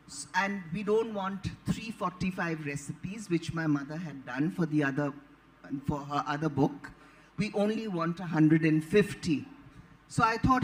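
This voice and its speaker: A woman's voice: low-pitched, poor audio. Older Indian-accented woman recounting anecdotes. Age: late 70s. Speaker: author and panelist. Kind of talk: anecdotal storytelling at a live panel.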